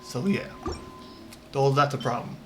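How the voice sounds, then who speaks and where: A man's voice: mid-range voice, measured pace, over music. Rambling man streaming casual gameplay. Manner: hesitant, rambling, repeats his words.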